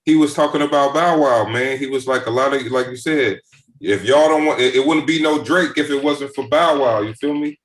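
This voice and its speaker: Relaxed male podcast host in an echoey room. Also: medium pitch, a little fast. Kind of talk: casual podcast hosting.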